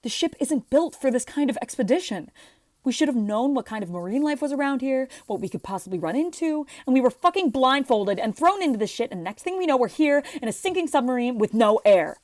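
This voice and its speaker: A woman's voice: sits high, lively intonation, brisk, a little mellow, no echo, clean studio sound. A woman playing a bitter, self-blaming navy veteran submarine captain in an amateur audio drama. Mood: bitter, angry.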